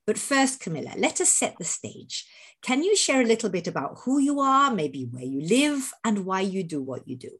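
A woman in her fifties hosts an interview podcast. She talks in an animated way, often restarting her sentences, and her pitch swings widely as she goes. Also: moderate pace, husky. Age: 50s. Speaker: podcast host. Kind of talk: conversational interview.

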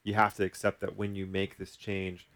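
A man's voice: sits low, monotone, moderate pace, fairly bright tone. A man in casual podcast conversation, explaining things informally as a therapist would. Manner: informal, explanatory, voices hypothetical client worries.

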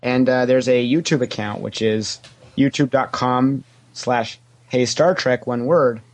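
Male podcast guest, mid-pitched voice, casual fan banter, opinionated with a sarcastic comic streak. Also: unhurried. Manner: opinionated, animated when quoting, sarcastic joking. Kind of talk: casual, joking fan chat.

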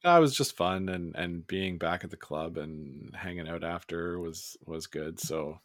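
A man's voice: sits low, unhurried, dry recording, studio quality. A man with a slightly scratchy voice, chatting casually as a podcast co-host. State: slightly scratchy voice from yelling while curling. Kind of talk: casual chat.

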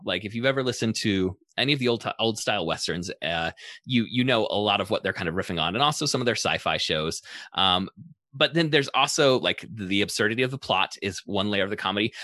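Guy podcast host doing a chatty recap, bright forward voice, lively and into it, dry sound. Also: lowish voice, no echo. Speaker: podcast host summarizing episodes. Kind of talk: conversational episode recap with commentary.

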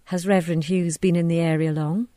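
A woman voicing a cool, sardonic adult daughter in an audiobook story, measured and wry. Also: lowish voice, steady tone, dark tone, dry recording, studio quality, close to an everyday voice. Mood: polite, probing.

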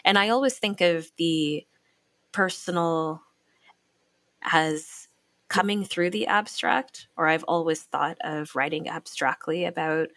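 Low-voiced woman, thoughtful and searching. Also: slow pace, fairly bright tone, no room sound. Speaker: writer and critic who teaches. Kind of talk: reflective conversation.

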